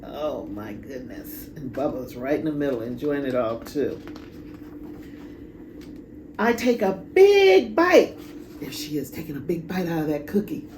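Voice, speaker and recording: A woman reading a children's story aloud in a dramatic, deliberate way with pauses. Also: low voice, sing-song. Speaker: storybook reader. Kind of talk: expressive children's read-aloud. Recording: echoey room, clear background noise.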